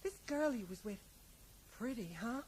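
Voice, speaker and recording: An actress plays a high-voiced American widow in a radio play. She is plain-spoken, resigned and unsure of herself, rambling along and tacking small questions onto her remarks. A faint noise sits on the recording. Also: expressive, normal speed, a little mellow, close to an everyday voice. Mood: matter-of-fact, reflective. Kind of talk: radio drama dialogue.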